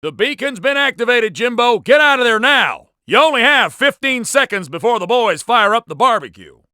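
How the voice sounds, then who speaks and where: A man's voice: extremely high pitch, big pitch swings, moderate pace, bright, forceful tone, smooth, no room sound, clean studio sound, full character voice. A man with an American accent voicing a breezy, folksy intelligence agent in a video game. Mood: breezy warning. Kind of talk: video game radio-chatter dialogue.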